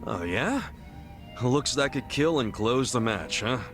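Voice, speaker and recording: A man's voice: mid-range voice, lively intonation, unhurried, over music, close to an everyday voice. A man voicing a blunt, curious, easygoing friend in a video game cutscene, talking in a casual, slangy way. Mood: curious, friendly.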